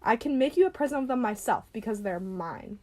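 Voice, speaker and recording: Actress as a high-pitched, quarrelsome young gentlewoman. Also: animated, fast, lightly acted. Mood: indignant, insistent. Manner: emphatic, argumentative, theatrical. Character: stubborn, quarrelsome young landowner's daughter, quick to flare up. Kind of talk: stage comedy acting.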